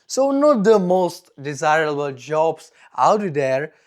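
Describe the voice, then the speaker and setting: Slow-talking young man, strong Czech accent. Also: fairly high pitch, big pitch swings. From Czech Republic. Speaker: vlogger. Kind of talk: personal monologue to camera.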